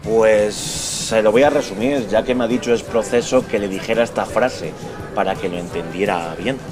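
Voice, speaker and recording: Deferential, subdued young apprentice played by a man, lowish pitch, radio soap over loud music. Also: moderate pace, close to an everyday voice. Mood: hesitant. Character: hesitant, downcast young male apprentice archaeologist. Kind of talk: radio soap opera acting.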